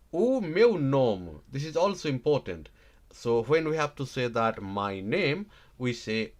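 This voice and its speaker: A man teaching a live online language lesson, repeating example phrases slowly and checking in with "right?" after each one. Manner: animated, stresses words with sudden loud high jumps.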